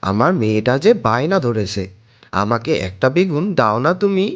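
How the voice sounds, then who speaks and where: A woman's voice: very deep voice, sing-song, normal speed, dull audio. A woman voicing a doting animal father who coaxes and haggles in a children's cartoon. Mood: pleading haggling.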